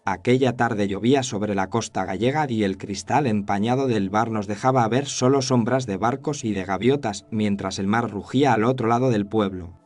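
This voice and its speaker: A man playing a haunted, regretful cameraman who narrates a scripted horror story in the first person, solemn and measured. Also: lowish voice, darkish tone, lightly acted.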